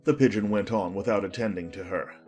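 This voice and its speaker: A man narrating a scripted audiobook story in an expressive manner. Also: on the low side, a little fast, soft background music.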